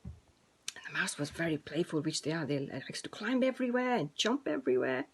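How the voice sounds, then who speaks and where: A woman's voice: low-pitched, big pitch swings, moderate pace, slightly rough. A woman telling a story to preschool children in an informal way, with simple, repeated phrases addressed to young listeners.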